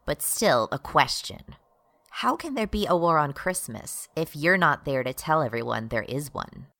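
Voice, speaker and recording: A woman playing a calm, reasonable character who patiently admonishes in a radio drama. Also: low voice, normal speed, no room sound, full character voice. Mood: pointed.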